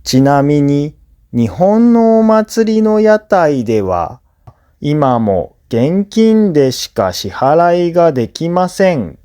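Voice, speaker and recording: A man who is a native Japanese speaker, reading scripted listening practice for learners in a clear, simple explanatory way. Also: on the high side, very animated, takes it slowly, dark tone. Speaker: language teacher and narrator.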